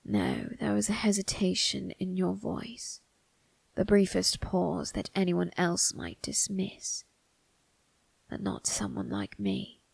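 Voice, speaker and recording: A woman voicing an ancient male undertaker in an ASMR roleplay, sly and teasing, with a breathy, husky, creaking voice and formal phrasing. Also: mid-range voice, little pitch movement, dry recording, heavily acted. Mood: quietly knowing. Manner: slow, formal, teasing delivery with drawn-out tag questions.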